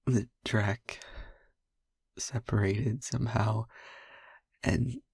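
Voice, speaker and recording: A man playing a traumatised young vampire boyfriend in an intimate audio roleplay, speaking in a breathy hush with halting pauses. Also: on the low side, fairly even intonation, no echo, full character voice. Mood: anguished. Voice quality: breathy, whispery. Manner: halting, hushed, pausing mid-sentence.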